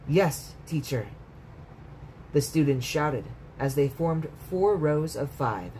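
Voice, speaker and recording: A man's voice: fairly high pitch, animated, a little slow, a little mellow, poor audio. A man reading a bedtime story aloud as a storyteller, in scripted narration. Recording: clear background noise.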